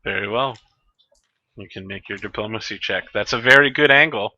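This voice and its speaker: A man's voice: lowish voice, normal speed, pushed and bright, lo-fi sound. A man running a tabletop game as game master, matter-of-fact with dry humor.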